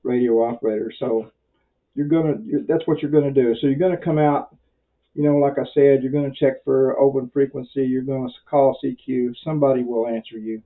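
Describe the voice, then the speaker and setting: Casting: male hobby instructor, medium-pitched, folksy rambling delivery with halting pauses, heard through muffled lo-fi audio. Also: fairly even intonation. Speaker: amateur radio instructor. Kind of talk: informal tutorial for beginners.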